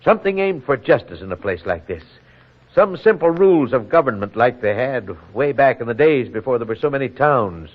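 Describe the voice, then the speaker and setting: A man's voice: mid-range voice, expressive, fairly quick, natural voice. Man acting an earnest townsman on tinny old radio. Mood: genial, earnest. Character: earnest, civic-minded townsman in a small rural community. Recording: muffled, narrow-band old radio broadcast.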